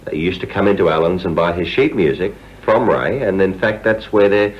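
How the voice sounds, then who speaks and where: A man's voice: deep voice, a little fast. A middle-aged man chatting casually as a visitor in an interview, on a dull lo-fi recording.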